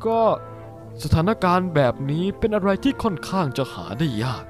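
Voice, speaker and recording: A man's voice: sits high, animated, moderate pace, soft background music. A man voicing a haughty, scheming ice-bird demon aristocrat in a fan-dubbed cartoon, theatrical and condescending. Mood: sly, condescending. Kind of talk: Thai fan-dub cartoon acting.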